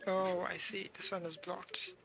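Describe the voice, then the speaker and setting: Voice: medium pitch, flat delivery, unhurried, vocal fry, poor audio. A game streamer giving casual commentary, muttering questions and short reactions to themselves while playing. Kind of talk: casual gameplay commentary, thinking aloud. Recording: game music behind the voice. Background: video game music.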